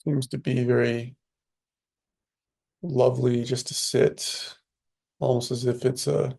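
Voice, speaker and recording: A man guides a meditation in a calm voice with a good deal of vocal fry. His delivery is very slow and level, with little movement in pitch. He leaves long, unhurried pauses between short phrases. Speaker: meditation teacher.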